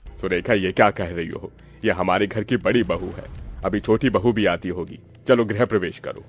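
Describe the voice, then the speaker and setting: A man voicing a kindly but firm middle-aged father-in-law in an animated family drama. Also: low voice, normal speed, faint music behind, lightly acted. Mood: placating.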